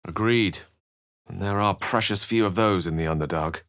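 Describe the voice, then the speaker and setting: A man's voice: low-pitched, moderate pace, a bit bright, no room sound, clean studio sound. A man voicing an eloquent, bookish, theatrical wizard in a video game, speaking with refined and wry wording. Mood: narrating, thoughtful. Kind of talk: video game character dialogue and narration.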